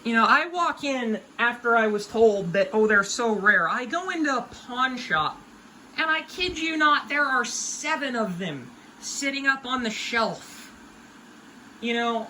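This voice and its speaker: A woman's voice: on the high side, pushed and bright, a little hiss or hum, poor audio. A woman of about twenty giving hobby advice in a casual, unscripted rant, with an emphatic, folksy, colloquial way of talking.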